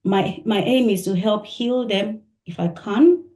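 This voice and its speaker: A woman musician from Nigeria answering interview questions, soft-spoken and thoughtful, laughing often. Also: mid-range voice, a little slow. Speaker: musician guest. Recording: echoey room.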